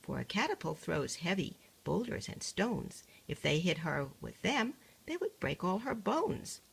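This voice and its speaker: An older woman reading a rhyming picture book aloud like a grandmother, measured and singsong. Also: lowish voice, big pitch swings, gravelly, slightly rough.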